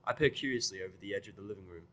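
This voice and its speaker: A man reading a first-person fan fiction story aloud with an expressive, sardonic delivery. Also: lowish voice, very fast. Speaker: fan fiction reader and narrator.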